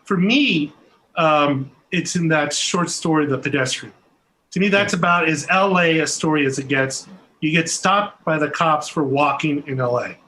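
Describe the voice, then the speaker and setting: A man's voice: fairly high pitch, moderate pace, pushed and bright. A middle-aged man from Southern California with a Californian American accent, casually moderating a panel on a video call. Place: home room, video call. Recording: noisy video call room sound.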